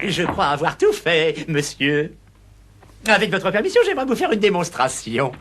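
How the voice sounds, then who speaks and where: A man's voice: a little high, sing-song, normal speed, background noise. A man voicing an eager, flustered young would-be butler in a cartoon, stammering and deferential. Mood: polite, eager. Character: eager, flustered young male ex-circus performer seeking butler job. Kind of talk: animated cartoon dub acting. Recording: loud music behind dialogue.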